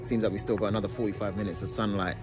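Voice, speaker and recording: A man's voice: lowish voice, very fast. Easygoing young man. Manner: relaxed, easygoing, casual slang. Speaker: travel vlogger. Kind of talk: casual vlog chat. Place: outdoors, rooftop terrace and town street. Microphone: handheld camera at arm's length. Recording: music playing under the voice.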